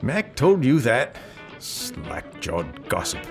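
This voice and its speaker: An actor playing a proud, hard-bargaining gunslinger for hire, talking laconically in ornate phrases, in an audio drama. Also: male voice, medium pitch, huge pitch swings, takes it slowly, music in the background, heavily acted. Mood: indignant, stubborn. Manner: laconic, ornate old-time Western phrasing.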